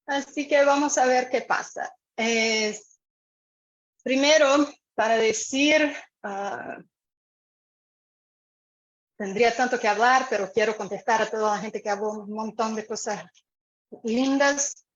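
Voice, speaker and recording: A woman from Brazil with a Brazilian Portuguese accent speaking on a video call, unhurried and searching for words with many pauses. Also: on the high side, dull audio. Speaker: community member sharing. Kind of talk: online meeting talk. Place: home room with a fan.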